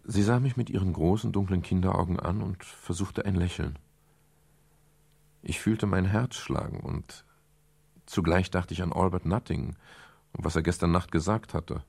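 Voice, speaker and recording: Gravelly, low-voiced, world-weary private detective. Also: male voice, a little mellow, full character voice. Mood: reflective. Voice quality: gravelly, husky. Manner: wry, measured storytelling. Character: world-weary male private detective narrating his case. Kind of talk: German crime radio play, first-person narration and dialogue.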